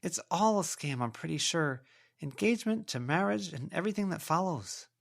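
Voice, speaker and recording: A man's voice: a little high, expressive, moderate pace, gravelly, voice pushed up. A man voicing a blunt, sceptical young girl with a casual way of talking, in an audiobook. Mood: blunt, exasperated. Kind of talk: audiobook character voice.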